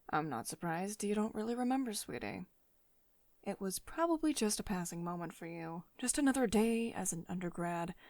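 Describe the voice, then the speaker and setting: A woman performing an ASMR roleplay as a wealthy, possessive tech CEO, speaking in an intimate, deliberate way that often drops toward a whisper. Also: medium pitch, a little mellow, no room sound, lightly acted. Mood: tender, confiding.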